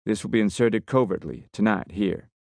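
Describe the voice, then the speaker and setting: A man voicing a brisk male American commander with a Texan drawl, giving a loud, commanding briefing. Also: fairly low pitch, gravelly, no room sound, studio quality. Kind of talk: audiobook character voice.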